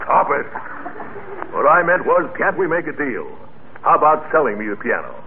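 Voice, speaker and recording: A man playing a pompous, easily exasperated neighbor in old-time radio comedy, with theatrical, drawn-out indignant exclamations. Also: fairly high pitch, big pitch swings, background noise. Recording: muffled vintage radio recording.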